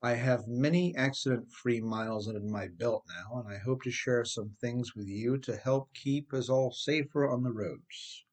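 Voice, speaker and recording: A man's voice: mid-range voice, normal speed, few pauses. A middle-aged man with an American accent reads his own audiobook in an emphatic, conversational way, often addressing the listener directly. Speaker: author reading own audiobook. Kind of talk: scripted audiobook narration with conversational asides.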